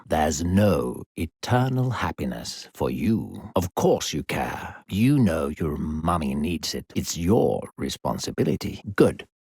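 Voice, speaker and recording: A man voicing a falsely sweet, controlling demon narrator in a video game, theatrical and condescending, with a gravelly, husky voice. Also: low-pitched, a little mellow, no room sound, clean studio sound, heavily acted. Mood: manic, gloating. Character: smooth-talking sinister demon narrator coaxing a child.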